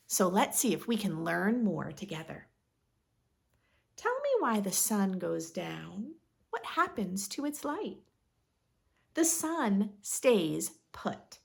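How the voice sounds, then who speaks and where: A woman reading a picture book aloud to young children in an expressive, instructive way, as a read-aloud host. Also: mid-range voice, creaky. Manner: expressive, instructive, asks listeners questions.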